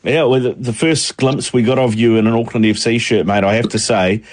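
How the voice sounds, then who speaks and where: A man's voice: mid-range voice, steady tone, a little fast. A man hosting a casual sports podcast, chatty and matey in a loose, rambling way. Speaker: podcast host.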